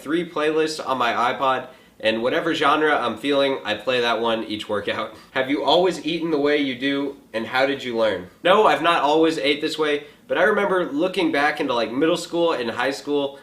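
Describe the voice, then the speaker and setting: A twenty-year-old man hosting a casual question and answer vlog from a home kitchen, relaxed and joking, with lots of filler likes. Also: mid-range voice. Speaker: fitness vlogger answering viewer questions. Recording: echoey room.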